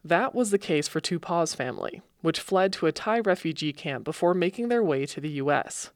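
A woman's voice: lowish voice, lively intonation, moderate pace, no room sound. Radio host woman, dry studio voice. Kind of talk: scripted radio narration.

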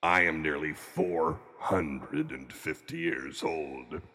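An actor playing a pompous, cantankerous centuries-old male ghost in a radio play, blustering in a drawn-out way. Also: rumbling low, very animated, gravelly, bright, forceful tone, exaggerated voice. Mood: pondering. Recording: long cavernous reverb on voice.

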